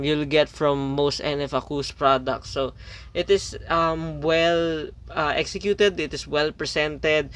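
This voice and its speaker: A man's voice: on the high side, a bit bright. A man whose native language is Filipino, casually reviewing a product on camera, with frequent fillers, restarts and "okay" checks between points. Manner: hesitant, many fillers and restarts.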